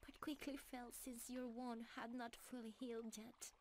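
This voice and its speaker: A woman voicing a gentle, loving, timeless deer-centaur forest creature in a romantic ASMR roleplay, with a soft, breathy, often whispering voice and a calm, tender manner. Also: fairly high pitch, a little hiss or hum, lightly acted. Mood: gentle, reassuring. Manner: calm, delicate, tender, unhurried.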